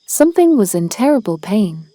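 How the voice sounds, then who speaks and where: A woman's voice: medium pitch, sing-song, normal speed, noisy background. A woman narrating a children's storybook as a read-aloud. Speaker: storybook reader.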